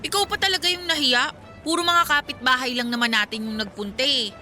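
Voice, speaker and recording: A woman's voice: sits high, brisk, sharp tone, faint music behind. An actress with a Filipino accent playing a chatty, teasing young woman, a best friend, in a radio drama. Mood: playful, teasing.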